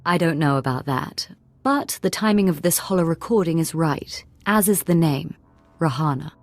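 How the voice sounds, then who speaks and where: A woman's voice: sits low, animated, normal speed, no room sound. An actress playing a scheming, gossip-loving noblewoman in a sci-fi video game, polished and conspiratorial. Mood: intrigued, gossipy.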